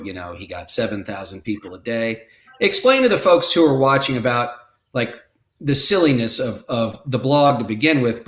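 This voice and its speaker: A man's voice: medium pitch, fairly bright tone. A man hosting a political talk show, asking questions with dry, wry asides. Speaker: host. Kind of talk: interview questions. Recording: echoey room.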